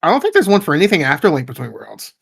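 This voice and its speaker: A man's voice: fairly high pitch, wildly swooping pitch, very fast, gravelly, fairly bright tone, husky. A man talking casually as a panelist on a podcast about games and films. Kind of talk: casual group chat about video game movies.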